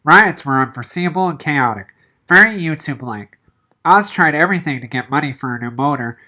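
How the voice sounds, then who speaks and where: A woman's voice: low voice, expressive, brisk, sharp tone. A woman reading a children's novel aloud as narration. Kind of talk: audiobook-style read-aloud narration.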